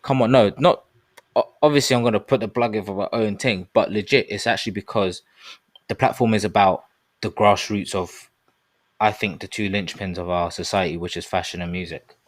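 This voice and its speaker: A man's voice: lowish voice, steady tone, a little fast, dry recording. A man in his thirties co-hosting a casual discussion podcast, talking in an earnest, rambling way with promotional asides. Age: 30s. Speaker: podcast co-host.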